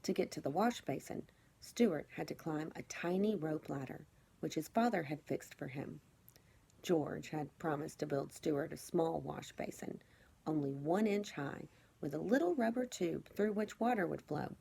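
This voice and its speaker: A woman teacher reading a children's storybook aloud, phrasing it clearly and expressively for young listeners. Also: sits low, normal speed, dark tone.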